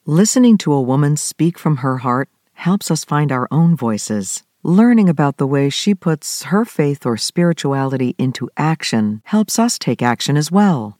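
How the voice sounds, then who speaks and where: A man hosting a podcast, reading a measured, scripted introduction. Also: a little high, very animated, darkish tone, no echo, clean studio sound. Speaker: podcast host.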